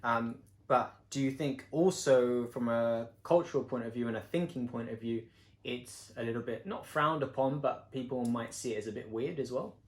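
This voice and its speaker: A man's voice: mid-range voice, moderate pace. Joking man interviewing in a roomy space. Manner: joking, playful banter. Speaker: host interviewing his partner. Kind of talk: casual interview chat. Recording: echoey room.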